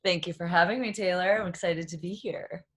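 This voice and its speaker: A woman's voice: on the low side, brisk. A woman in her thirties from Boston, a yoga therapist telling her story as a podcast guest in a reflective, open way, laughing often. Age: 30s. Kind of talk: casual interview talk.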